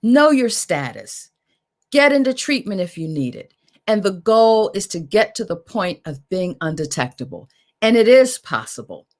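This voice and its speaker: A woman's voice: mid-range voice, sing-song, a little mellow. Emphatic woman giving an event welcome. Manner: emphatic, informal, deliberate phrasing. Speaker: moderator, executive director.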